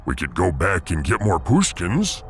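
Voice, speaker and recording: A man's voice: deep voice, huge pitch swings, unhurried, a bit bright, heavily acted. A man voicing a big, dutiful alien warrior on a starship, formal and emphatic, in a comic audiodrama.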